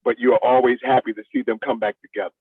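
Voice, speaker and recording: A man's voice: medium pitch, flat delivery, fast, slightly rough, dry recording, far from the mic, narrow, tinny audio. A middle-aged American man chatting casually as an interview guest, in a colloquial, conversational way. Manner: colloquial, addresses listener as "man".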